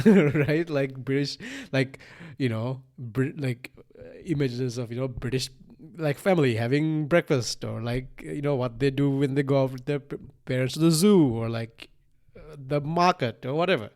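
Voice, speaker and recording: A man in his thirties from Sri Lanka, with Sinhalese as his first language, talks off the cuff as a solo podcast host. His pitch sits in the middle, and he rambles casually, restarting and repeating phrases as he thinks aloud. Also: a little mellow. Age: 30s. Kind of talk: casual unscripted solo monologue.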